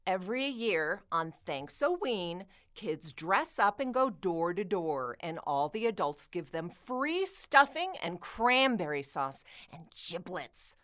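A woman's voice: lowish voice, sing-song, takes it slowly, hardly any pauses, fairly bright tone, full character voice. A woman playing a brash, scornful kid in a comic radio play, blunt and mocking.

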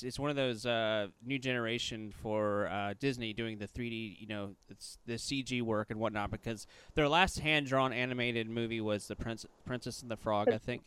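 Excitable man host from Louisiana. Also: smooth, no room sound. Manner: excitable, with sudden loud jumps in pitch. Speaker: podcast host. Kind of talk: casual interview.

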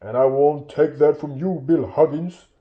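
A man playing a loud, blustering rustic troll. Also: fairly high pitch, measured pace, highs cut off, pitched above the performer's own voice, natural voice. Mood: angry. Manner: coarse rustic dialect, dropped aitches, blustering. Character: big drunken male troll, dim, suspicious, grudgingly soft-hearted.

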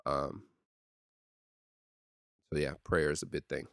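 A youth pastor with a deep voice, talking in a casual solo podcast with lots of ums and uhs. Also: male voice, slow pace, vocal fry, smooth, no room sound, clean studio sound. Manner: steady and conversational with many ums and uhs.

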